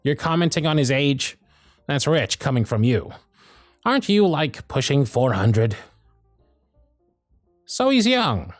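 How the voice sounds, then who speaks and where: Casting: male actor as gruff, fond elf boss for ASMR roleplay, sardonic, very lively intonation, dry close sound, music behind. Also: medium pitch, slow pace, pauses often, no room sound. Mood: stern, sardonic. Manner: bossy, teasing, sardonic.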